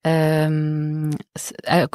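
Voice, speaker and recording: A woman in her thirties, a visual artist born in the Netherlands and raised in France, answering interview questions in a soft, reflective, halting way. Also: low voice, monotone, clear, resonant tone, no room sound, clean studio sound. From born in the Netherlands, raised in Marseille. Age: 30s. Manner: many fillers and restarts, soft and reflective. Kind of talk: hesitant interview talk.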